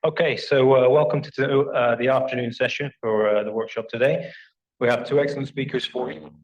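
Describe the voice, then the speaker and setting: A man chairing a workshop session, briskly introducing the next speaker. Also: mid-range voice, steady tone. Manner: brisk, quick formal introduction with fillers. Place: lecture hall. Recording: dull, lo-fi lecture recording.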